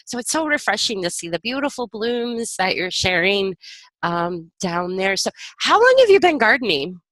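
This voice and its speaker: Woman podcast host, bright tense tone, bouncy lively pitch, bubbly and laughing, dry close sound. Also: dry recording. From Ohio, USA. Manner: bubbly, laughs often, quick exclamations. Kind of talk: casual interview chat.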